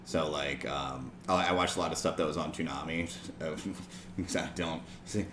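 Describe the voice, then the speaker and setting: A man's voice: a bass voice, fairly even intonation, normal speed, fairly bright tone, a little hiss or hum. A man appearing as a comedian guest on a podcast, talking in a laid-back, joking way. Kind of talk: casual chat. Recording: roomy room, shared mic.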